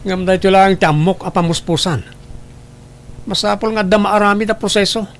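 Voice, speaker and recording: Actor as insistent, suspicious wounded husband. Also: male voice, high-pitched, little pitch movement, moderate pace, a little hiss or hum. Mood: insistent doubt. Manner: insistent, accusing. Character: suspicious, wounded husband doubting his child's paternity. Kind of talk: melodramatic audio drama acting.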